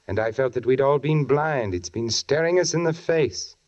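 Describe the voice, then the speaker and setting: An actor playing a sly, probing British newspaperman in a 1940s radio suspense drama, measured and insinuating in manner. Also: mid-range voice, a little mellow, lightly acted. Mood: casually probing. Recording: muffled 1940s radio recording.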